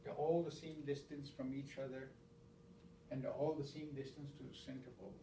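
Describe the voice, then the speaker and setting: A man's voice: medium pitch, level tone, dark tone, roomy sound. Stoney-speaking male elder teaching, repeats and restarts, recorded far off in a faintly noisy room. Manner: repeats words, counts aloud, halting restarts. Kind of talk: informal spoken teaching.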